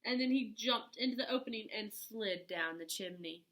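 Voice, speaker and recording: A woman reading a picture book aloud to children as an expressive storyteller, dramatic and full of emphatic pauses. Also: medium pitch, poor audio.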